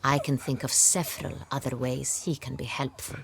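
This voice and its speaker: Low-voiced, imperious noble duchess. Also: female voice, level tone, normal speed, gravelly, slight background noise. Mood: imperious command. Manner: formal, imperious, authoritative. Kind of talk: dramatic video game acting.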